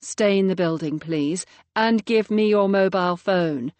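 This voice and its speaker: A brisk female police detective gives orders in a crisp, firm voice, as a woman reader plays her. She is commanding and businesslike, and the recording is dry and close. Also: medium pitch, darkish tone, no room sound, natural voice. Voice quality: crisp, authoritative.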